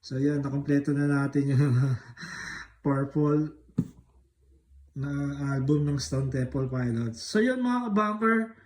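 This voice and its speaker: A man's voice: on the high side, takes it slowly, pauses often. A Filipino man talking casually in a laid-back way as a collector doing an unboxing vlog, switching between two languages. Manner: laid-back, mixes Tagalog and English. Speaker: music collector vlogger.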